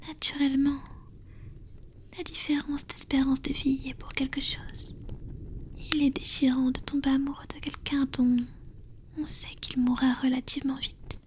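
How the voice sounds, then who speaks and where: A woman voicing an ancient, powerful female vampire and tender lover in a French ASMR romance roleplay, with a soft breathy whisper and intimate, halting delivery. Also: high-pitched, flat delivery, lightly acted. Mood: wistful, confiding. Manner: slow, intimate, halting with pauses.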